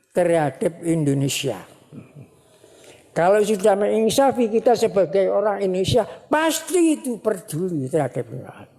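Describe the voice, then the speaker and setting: A woman religious teacher giving a sermon at a ceremony, speaking slowly and repeating phrases for emphasis. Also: mid-range voice, darkish tone. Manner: slow, repetitive, emphatic preaching. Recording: long hall reverb.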